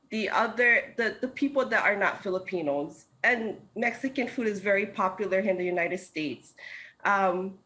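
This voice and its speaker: A woman's voice: medium pitch, a bit bright, poor audio. A woman in her thirties from Hawaii, a food truck co-owner, explaining her menu at length in a remote news interview over a video call. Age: 30s.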